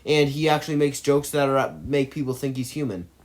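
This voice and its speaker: A man's voice: medium pitch, fairly quick. A man delivers an opinionated movie commentary in an ordinary mid-range voice. He argues emphatically and rambles, often backing up to restate his points.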